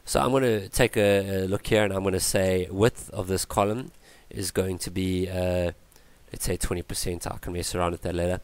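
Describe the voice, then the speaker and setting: A man's voice: sits low, fairly even intonation, normal speed, few pauses, clean tone. A man teaching a coding tutorial over a screencast, thinking aloud with fillers and self-corrections. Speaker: tutorial instructor.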